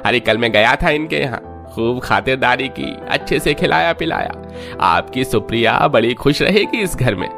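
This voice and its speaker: Cartoon priest matchmaker played by a man: food-loving flatterer, very animated delivery, theatrical exclamations, music underneath. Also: mid-range voice, moderate pace, vocal fry. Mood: jovial, flattering. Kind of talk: Hindi cartoon story voice acting.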